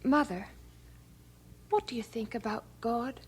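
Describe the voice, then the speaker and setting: An actress playing an earnest, restless young London maid in a radio drama. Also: female voice, fairly high pitch, a little slow, husky. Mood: earnest, searching.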